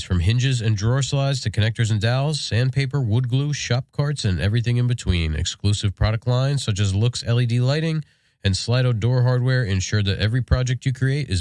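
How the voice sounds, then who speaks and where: A man's voice: medium pitch, a little mellow, no room sound, clean studio sound. An American man co-hosting a podcast in casual, laid-back shop talk, sounding congested from a sinus infection. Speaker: podcast co-host.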